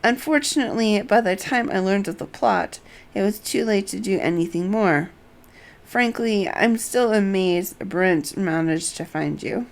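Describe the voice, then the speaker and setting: A woman's voice: mid-range voice, a little slow, creaky. A woman voicing a frank, resolute young noblewoman from a fantasy game, blunt yet formally worded. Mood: wry, frank.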